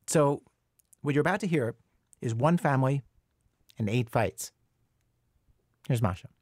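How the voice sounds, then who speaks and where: A man's voice: mid-range voice, animated, measured pace, darkish tone, dry recording. Dry-sounding male radio host. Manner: conversational, reflective. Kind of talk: scripted radio narration.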